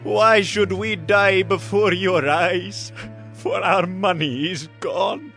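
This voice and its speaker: High-pitched, desperate famine beggar. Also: male voice, slow, vocal fry, fairly bright tone, soft background music, full character voice. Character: desperate starving Egyptian man begging for food. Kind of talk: audio drama Bible acting.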